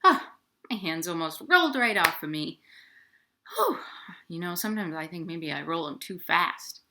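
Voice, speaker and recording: A woman's voice: lowish voice, lively intonation, normal speed, pushed and bright, slight background noise. A woman hosting a children's storytime, reading playfully in sing-song rhymes and speaking directly to young children. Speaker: children's librarian, storytime host. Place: at home, indoors.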